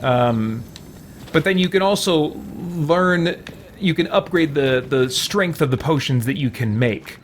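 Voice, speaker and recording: A man hosting a game stream, chatting casually and explaining in a halting, conversational way. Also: fairly high pitch, lively intonation, slight background noise. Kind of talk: casual live chat while playing.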